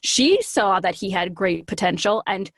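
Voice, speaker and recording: A woman speaking as an interview guest, telling things in an animated, casual, storytelling way. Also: mid-range voice, measured pace, no room sound, clean studio sound.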